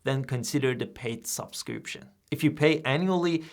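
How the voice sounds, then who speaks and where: Man explaining software step by step. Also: mid-range voice, normal speed, vocal fry. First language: Persian. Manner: plain, steady step-by-step explaining. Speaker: tutorial host. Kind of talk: screen-recorded software tutorial.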